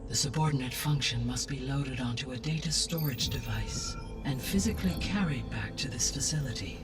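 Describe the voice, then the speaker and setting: A calm, benevolent machine mind, played by a man for a game cutscene. The words are formal and precisely measured. The voice sits a little away from the mic, with music clearly playing behind it. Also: mid-range voice, recorded from a distance, natural voice. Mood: measured, explanatory. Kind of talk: scripted game cutscene dialogue.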